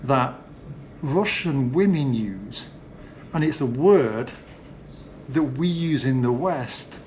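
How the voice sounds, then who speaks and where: A man's voice: medium pitch, darkish tone, lo-fi sound, slight background noise. Chatty British man on a walkabout. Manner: chatty, loose, with frequent fillers. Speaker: vlog host. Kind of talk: casual walking-tour vlog. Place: indoors, hotel and sauna cellar.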